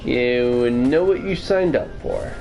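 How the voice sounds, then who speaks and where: A man's voice: medium pitch, slow pace, creaky, music in the background. A man streaming casually, answering viewers with wordy, formal phrasing. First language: English. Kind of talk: casual livestream chat answering viewer questions. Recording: noticeable background noise.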